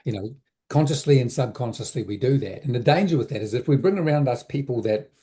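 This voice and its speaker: A man answering interview questions as an advisor, reflective and halting, often repeating words as he thinks aloud. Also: mid-range voice, lively intonation, mellow. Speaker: interview guest, business advisor. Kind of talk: conversational explanation in an interview.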